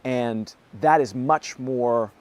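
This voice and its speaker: A man in his thirties speaks with a hoarse voice at an ordinary middle pitch. He chats in a loose, easygoing way. He laughs often as he answers interview questions. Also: slow pace. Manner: relaxed, laughs often, talks with his hands. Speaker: actor being interviewed. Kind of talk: casual interview chat.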